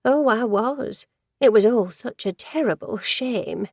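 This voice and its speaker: A woman's voice: a little high, lively intonation, slow pace, vocal fry, dry recording, clean studio sound, pitched above the performer's own voice, heavily acted. Woman voicing a chatty, gossipy older office lady. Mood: disapproving. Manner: chatty, rambling, easily sidetracked.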